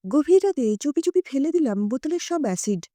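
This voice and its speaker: A woman narrating a dramatic story in the first person with an emotive, expressive delivery. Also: high voice, fairly quick, no echo.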